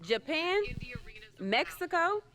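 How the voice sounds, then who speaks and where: A woman's voice: very high-pitched, big pitch swings, takes it slowly, a bit bright, exaggerated voice. A woman playing a cocky but good-natured champion wrestler in conversational video game dialogue, with light ambience behind. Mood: friendly, eager.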